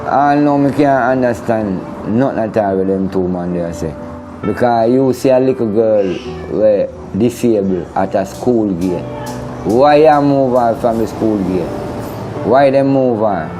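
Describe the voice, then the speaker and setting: A man's voice: lowish voice, expressive, a little slow, poor audio. A middle-aged man with a Jamaican accent speaking emphatically in an unscripted interview, repeating rhetorical questions. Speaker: interviewee, father of a missing woman. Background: background music.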